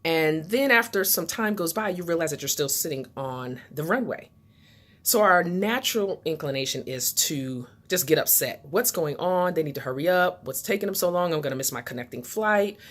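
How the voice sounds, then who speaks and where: Low-pitched woman giving a motivational talk. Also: animated, moderate pace. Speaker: motivational speaker and channel host.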